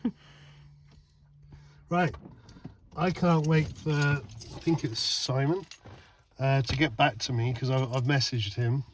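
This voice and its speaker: A man's voice: mid-range voice, takes it slowly, long pauses, a little hiss or hum. A man in casual vlog chat outside at night, testing van lights, exclaiming and repeating himself as he talks. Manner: excitable, exclaims and laughs, repeats words.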